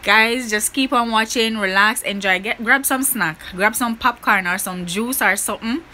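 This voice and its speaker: A woman's voice: a little high, normal speed, pushed and bright, a little hiss or hum. A young woman talking casually to her camera in a bedroom, upbeat and chatty with her viewers. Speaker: vlogger. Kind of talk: casual vlog talk to camera. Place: small bedroom indoors.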